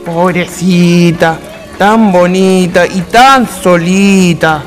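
A man's voice: high-pitched, extremely slow, faint music behind. An actor playing a simple, earnest country man with a rural Chilean accent in a radio drama. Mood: teasing.

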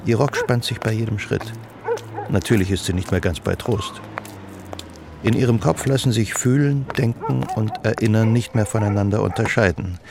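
Low, gravelly male narrator. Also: background noise. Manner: measured, literary storytelling. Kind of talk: scripted radio drama narration.

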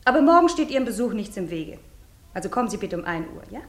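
An echoey room surrounds a kindly hospital nurse played by an actress in a radio drama. Her voice is mid-pitched, and she questions gently, firm yet indulgent. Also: big pitch swings, fast talker, natural voice. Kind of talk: German radio play acting.

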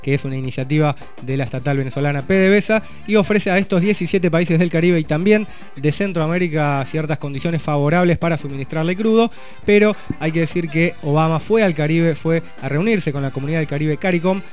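A man hosting a radio program, chatting with co-hosts and reading regional news in a relaxed, conversational way. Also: fairly high pitch, fairly quick, faint music behind. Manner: relaxed, conversational, reads news items with asides. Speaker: radio host. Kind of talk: community radio news chat.

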